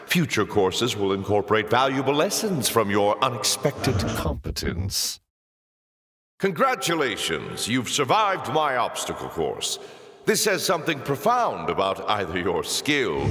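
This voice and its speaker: A low, unhurried demon lord for a video game. He is pompous and sly, savoring each taunt with silky sarcasm. The voice rings out in a long, echoing reverb. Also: fairly bright tone, exaggerated voice. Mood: condescending, dry. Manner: silky, sardonic, pompous, drawn-out teasing. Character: suave, mocking demon lord, taunting and sardonic. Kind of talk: theatrical video game villain voice acting.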